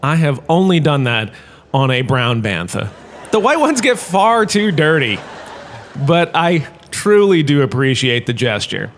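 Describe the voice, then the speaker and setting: An actor playing a vain, washed-up star turned professor, lecturing in a pompous, theatrical, self-satisfied way for a comedic audio drama. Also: male voice, a little high, very animated, a little slow. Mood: smug, gracious.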